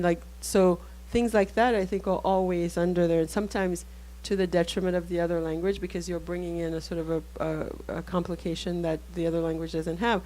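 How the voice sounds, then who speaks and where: Low flat-ish voice, haitian creole first-language woman, thinking out loud on a panel, lots of restarts. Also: rough recording. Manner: thoughtful, halting, many restarts. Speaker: author. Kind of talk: panel discussion.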